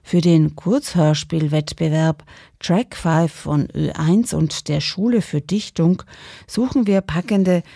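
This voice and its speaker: A woman's voice: low voice, slow, gravelly, dark tone, no room sound, studio quality. A woman presenting scripted programme announcements on the radio.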